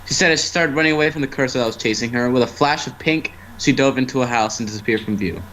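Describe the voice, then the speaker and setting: A man reads a fan story as its narrator. His voice sits at an average pitch, with casual storytelling and occasional stumbles over the script. The amateur stream carries faint noise. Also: quick pace, a bit bright. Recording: noisy amateur online stream.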